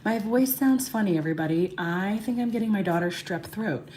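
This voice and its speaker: A woman in her thirties, hoarse and raspy from losing her voice, chatting casually to livestream viewers during a nail tutorial and greeting them by name. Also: mid-range voice, expressive, brisk, a little mellow, slight background noise. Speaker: beauty livestream host.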